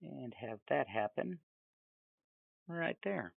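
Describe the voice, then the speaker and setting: A man giving a casual, off-the-cuff software tutorial, rambling along with chatty, self-amused asides. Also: medium pitch, animated, slow pace, gravelly, dry recording, phone-quality sound. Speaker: tutorial presenter.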